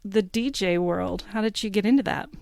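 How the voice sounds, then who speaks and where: A woman's voice: mid-range voice, normal speed, creaky, a little mellow, dry recording. Chatty woman podcast host, dry sound. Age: 50s. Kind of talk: casual interview chat.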